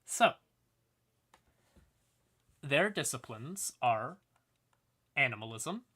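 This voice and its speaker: Male livestream host, conversational and sweary lore explainer, mid-pitched voice with bright, punchy tone. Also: big pitch swings, extremely slow.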